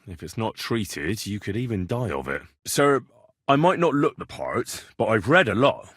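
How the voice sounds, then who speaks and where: An actor playing a respectful, earnest young vagabond who poses as a learned medieval healer in a video game, speaking in measured, formal address. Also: male voice, on the low side, sing-song, fairly bright tone, husky, dry recording, studio quality, full character voice. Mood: earnest, persuasive. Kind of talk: scripted video game dialogue.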